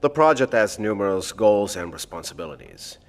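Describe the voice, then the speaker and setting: A man's voice: fairly low pitch, lively intonation, moderate pace, natural voice. A man playing a precise, pedantic scientist in an audio drama, speaking formally. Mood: pedantic, measured. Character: precise, pedantic male secret project scientist. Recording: long hollow hall reverb.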